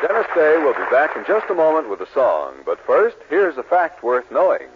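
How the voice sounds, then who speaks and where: Lively 1940s radio announcer, male. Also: fairly high pitch, moderate pace, a little hiss or hum. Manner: polished, emphatic. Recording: tinny, rough vintage radio recording.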